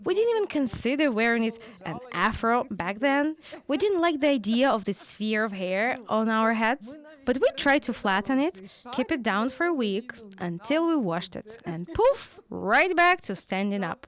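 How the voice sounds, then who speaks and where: A woman giving a lively, conversational first-person voice-over translation of an interview. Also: on the high side, brisk.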